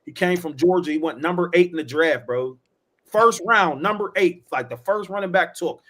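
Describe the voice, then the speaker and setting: Pittsburgh guy in his 40s, slangy storyteller, bro and yo everywhere, kinda high voice. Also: normal speed, fairly bright tone. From Pittsburgh, USA. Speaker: podcast host. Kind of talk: casual chat.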